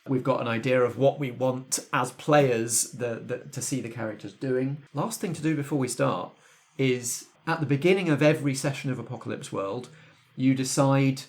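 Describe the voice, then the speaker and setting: A man's voice: medium pitch, moderate pace, hardly any pauses. A man speaking conversationally as game master, explaining the rules of a tabletop game to his players.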